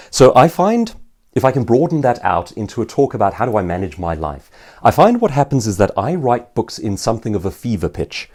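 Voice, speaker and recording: A man who works as an author and professional speaker, giving an informal seminar in an articulate, emphatic storytelling manner with dramatic pauses. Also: on the low side, darkish tone. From South Africa. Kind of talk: informal seminar answering viewers' questions.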